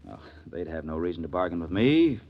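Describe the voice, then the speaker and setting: A man's voice: low voice, very animated, a little fast, dull audio. An actor playing a nervous, fretful male pawnbroker in a 1940s radio drama, hesitant and apologetic in manner.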